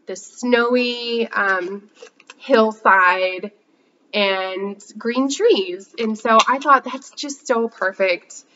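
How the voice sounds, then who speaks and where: A woman's voice: mid-range voice, bright, forceful tone, dull audio, a little hiss or hum. A congested woman with a cold, chatting in a rambling way with many fillers as a podcast host. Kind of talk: casual chat showing yarn haul.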